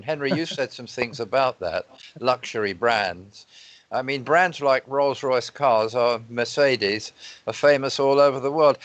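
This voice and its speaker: Measured man hosting a panel, dry sound. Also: mid-range voice, fairly bright tone, no echo. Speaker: moderator. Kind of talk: hosting an online panel discussion.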